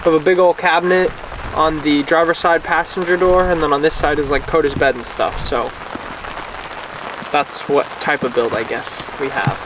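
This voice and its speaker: An easygoing young man rambles through his answers, his voice sitting slightly high. He is heard from a distance outdoors, with steady rain and a busy background behind him. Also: normal speed. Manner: easygoing, rambling with many fillers. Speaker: vlogger. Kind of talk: casual chat. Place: outdoors beside a car in the rain. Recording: distant outdoor camera audio with rain noise. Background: rain and outdoor ambience.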